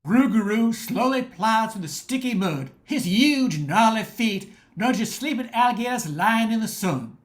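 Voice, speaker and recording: A woman's voice: a little high, lively intonation, measured pace, hardly any pauses. A woman reading scripted narration for a radio drama, as the storyteller between the characters' lines.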